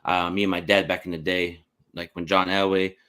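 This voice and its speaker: Fast, low-voiced man hosting a podcast. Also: little pitch movement, pushed and bright. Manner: informal, slangy, repeats "man". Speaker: podcast host. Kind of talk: casual sports podcast interview.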